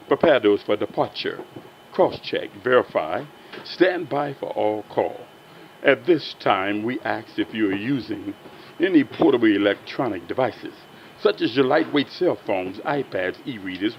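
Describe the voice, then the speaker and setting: A man's voice: on the low side, animated, unhurried, vocal fry. A man making formal, practised in-flight announcements as a flight attendant over a cabin PA. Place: aircraft cabin. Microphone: cabin PA handset. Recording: muffled cabin PA, aircraft noise.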